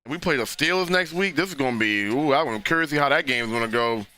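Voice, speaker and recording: A man, hoarse and losing his voice, hosting a casual live football reaction stream and talking loosely with frequent restarts. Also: medium pitch, lively intonation, fast talker, bright, forceful tone. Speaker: livestream host reacting to a football game.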